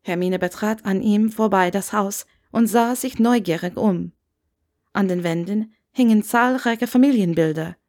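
A woman's voice: mid-range voice, measured pace, darkish tone. Woman narrating an audiobook story.

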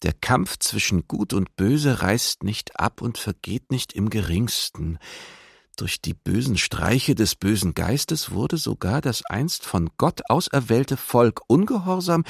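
An actor playing a zealous, declamatory preacher railing against evil in a documentary radio drama. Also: male voice, lowish voice, creaky, breathy edge, no room sound, clean studio sound, heavily acted.

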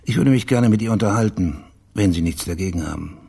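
A man's voice: low voice, brisk, vocal fry, over music. A man playing a persistent investigator in a radio crime drama, asking polite, matter-of-fact questions. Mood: politely probing. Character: probing, persistent male investigator on a case.

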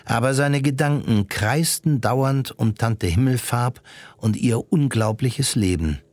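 A man's voice: mid-range voice, unhurried, a little mellow, no room sound, natural voice. A man playing the composed narrator of a radio play, reading scripted narration. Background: music.

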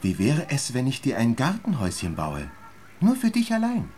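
An actor playing a patient father in a radio play, gently and hesitantly coaxing his young daughter. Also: mid-range voice, wildly swooping pitch, moderate pace, faint music behind. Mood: reassuring. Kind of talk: German radio play acting.

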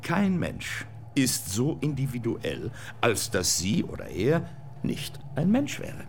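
An actor playing a talkative computer persona who argues insistently and lectures. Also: male voice, medium pitch, takes it slowly, creaky, slight background noise, full character voice. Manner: animated, insistent, preachy. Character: talkative computer persona, male, pushy and lively.

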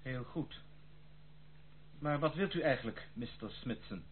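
An actor playing a wary, protective gentleman in a radio play, speaking with formal, guarded politeness. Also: mid-range voice, animated, measured pace, natural voice. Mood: wary, indignant. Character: wary, protective gentleman houseguest, stiffly courteous. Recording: tinny old radio recording, faint noise.

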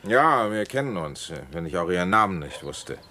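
An actor in a German radio play playing a sober police inspector, questioning tersely and insistently. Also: male voice, sits low, sing-song, normal speed, pushed and bright, full character voice. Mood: businesslike, probing.

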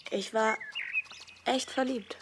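A woman's voice: fairly high pitch, fairly even intonation, takes it slowly. An actress playing a frank, lovestruck teenage girl in a radio drama, speaking as if interviewed. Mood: wistful. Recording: loud background noise with birdsong.

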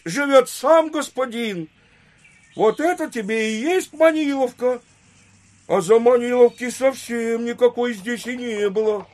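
A man's voice: extremely high pitch, darkish tone. An actor playing a muddled, pedantic Russian peasant in an audio drama, rambling and repeating himself as he explains. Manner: rambling, repetitive, self-correcting. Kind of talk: theatrical audio drama acting.

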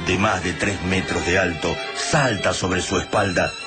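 A grave argentine radio drama narrator, really low voice, suspenseful, loud music under him. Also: male voice. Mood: grim, tense. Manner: solemn, suspenseful, deliberate phrasing. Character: grave male narrator of a sci-fi invasion war.

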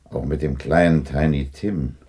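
An actor playing a gruff old miser full of anguish and repentance, husky and emphatic, in a 1960s German radio play. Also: male voice, a bass voice, fairly even intonation, unhurried, warm tone, exaggerated voice. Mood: probing. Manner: dramatic, emphatic.

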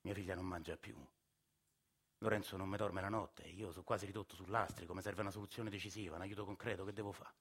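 A man's voice: low voice, fairly even intonation, fast talker, a bit bright, slightly rough, heavily acted. An actor playing a desperate, evicted family man in a radio monologue, speaking with a Roman accent in a sardonic way that breaks into outbursts. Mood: exasperated. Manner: bitter, sardonic storytelling, rising to outbursts.

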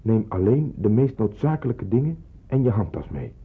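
An actor playing a grave, careful man who secretly arranges wartime hiding in a Dutch radio play, giving deliberate instructions. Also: fairly low pitch, very animated, slightly rough, heavily acted. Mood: instructing. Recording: muffled, phone-like old radio recording.

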